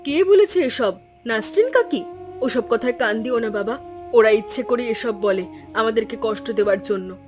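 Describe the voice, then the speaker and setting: A woman's voice: fairly high pitch, expressive, normal speed, music in the background, close to an everyday voice. An actress playing a patient village wife and mother in a cartoon folk tale, speaking in a consoling, reassuring manner. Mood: gently firm. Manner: soothing, reassuring.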